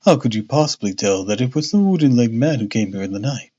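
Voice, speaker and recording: A man voicing a calm, polite Victorian gentleman detective from a storybook, with a bland, coaxing manner. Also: medium pitch, quick pace, breathy edge, muffled recording.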